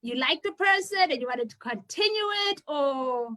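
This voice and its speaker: Woman host, high bright voice, playful, calls everyone honey on a video call. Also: lively intonation, normal speed. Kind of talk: casual video-call chat.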